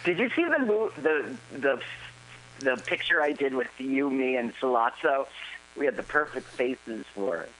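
A man's voice: mid-range voice, animated, normal speed, a bit bright, rough recording. A man co-hosting a radio show, playful and joking while watching a movie. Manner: playful, mock movie quotes. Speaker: radio co-host. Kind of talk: casual movie-watching chat.

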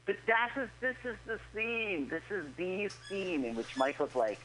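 A man's voice: high voice, very animated, moderate pace, bright, forceful tone, a little hiss or hum, poor audio. A man co-hosting a podcast, chatting casually about a film and quipping along with it. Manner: quips, quotes movie lines in passing. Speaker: podcast co-host. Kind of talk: casual movie commentary chat.

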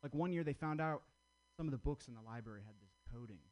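A man's voice: medium pitch, lively intonation, fast talker, a little mellow, lo-fi sound. A man closing a stand-up set with a rambling, crude story. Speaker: stand-up comedian.

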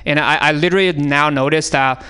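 A man's voice: on the high side, steady tone, fairly quick, pushed and bright, clear, resonant tone. A man from China with a Chinese accent, improvising comedy bits on given topics in a rambling way, full of fillers and restarts. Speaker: comedian riffing on prompts. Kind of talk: improvised comedy on audience prompts. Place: radio studio.

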